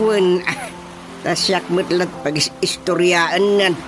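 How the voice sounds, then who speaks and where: A man's voice: sits high, slow pace. An actor playing an easygoing, boastful old village father with an Ilocano accent in a radio drama. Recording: prominent music under the dialogue.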